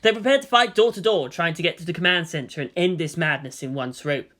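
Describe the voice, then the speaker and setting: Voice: medium pitch, quick pace, a bit bright. A narrator reading a story aloud in a dramatic, scripted audiobook style.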